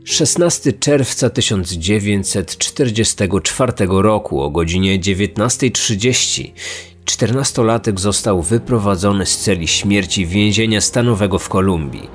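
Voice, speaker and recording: A man delivering serious, measured scripted true-crime narration as a podcast host. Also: lowish voice. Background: music.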